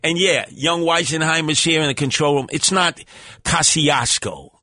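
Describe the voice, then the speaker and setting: Brash New radio host, bright tone. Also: male voice, moderate pace, dry recording, studio quality. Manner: brash, mocking, theatrical swings in pitch. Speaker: radio talk show host. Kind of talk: talk radio banter with callers. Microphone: studio microphone.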